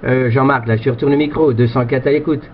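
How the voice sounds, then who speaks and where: A man's voice: medium pitch, fairly even intonation, quick pace. A man chatting casually as an amateur radio operator, with a rambling, repetitive way of talking. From France. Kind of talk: casual radio contact chat. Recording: muffled, noisy two-way radio sound.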